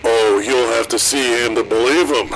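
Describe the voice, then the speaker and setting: An actor playing a mocking, theatrical gangster villain in a noir audio drama, gloating with drawn-out words. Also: male voice, medium pitch, background noise, natural voice. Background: music.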